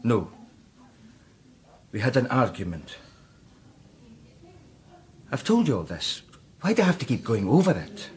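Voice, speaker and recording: Radio drama role: bitter, devout Scottish composer, husky mid-pitched male voice, terse and intense. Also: wildly swooping pitch, unhurried, pauses often, darkish tone, heavily acted. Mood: prickly, defensive. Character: brooding, guilt-ridden struggling composer, bitter and devout.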